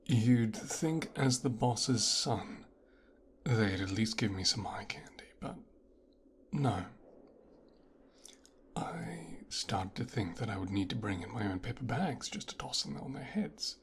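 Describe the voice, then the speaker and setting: Man acting slow, low-voiced, hushed mafia heir. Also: animated, a little hiss or hum. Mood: smug, appraising.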